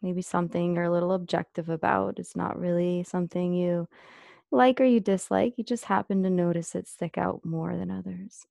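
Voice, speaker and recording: Low, mellow woman guiding meditation, slightly creaky. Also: fairly even intonation, no room sound, studio quality. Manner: gentle, unhurried, with long pauses. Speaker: meditation instructor. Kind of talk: guided meditation, soothing instruction.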